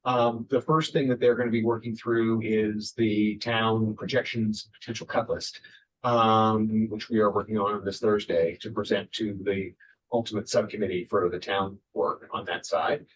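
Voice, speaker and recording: A man addressing a town committee meeting, halting and full of fillers. The recording sounds a little muffled, with a faint rumble underneath. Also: steady tone. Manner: halting, many fillers and restarts. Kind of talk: public meeting remarks.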